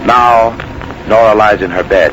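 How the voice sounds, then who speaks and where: A man's voice: lowish voice, measured pace. A man narrating a 1940s radio drama in a grave, formal, dramatic style. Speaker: announcer, narrator. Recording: noisy vintage 1940s radio recording.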